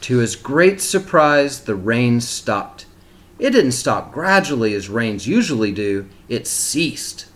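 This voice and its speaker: Slow, expressive man reading a storybook. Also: mid-range voice. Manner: expressive, deliberate phrasing for young children. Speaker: storybook reader for kindergarten children. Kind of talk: read-aloud storytelling with short asides.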